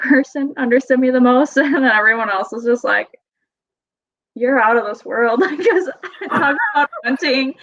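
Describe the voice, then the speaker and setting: A woman's voice: fairly high pitch, creaky, fairly bright tone. A young woman from Wisconsin with a Wisconsin accent, chatting as a podcast guest, giggly and full of fillers. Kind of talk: casual interview chat. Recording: dull lo-fi sound.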